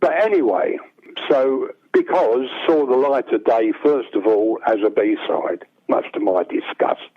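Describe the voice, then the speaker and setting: An older man with a bass-baritone voice, telling rambling stories as a songwriter guest. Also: measured pace. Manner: rambling anecdotes, acts out quoted dialogue. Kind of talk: casual storytelling. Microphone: telephone. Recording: tinny phone line.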